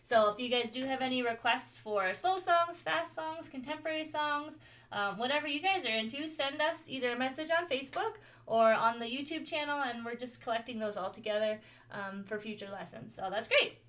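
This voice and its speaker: A woman's voice: fairly quick, fairly bright tone. Chatty woman teaching gospel piano. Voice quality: higher voice. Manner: friendly, chatty, with frequent "um" and small laughs. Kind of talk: online gospel piano lesson. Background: piano playing.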